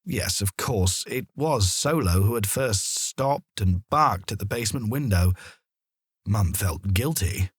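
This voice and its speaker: Brief: male children's narrator, expressive and animated, slightly rough-edged voice, close dry sound. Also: fairly low pitch, slow pace, no echo. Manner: dramatic, expressive storytelling.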